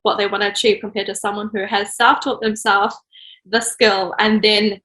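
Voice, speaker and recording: A woman's voice: mid-range voice, level tone, moderate pace, a bit bright, reverberant. A woman author and illustrator chatting casually in an interview, laughing often and saying "like" a lot. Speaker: children's book author and illustrator.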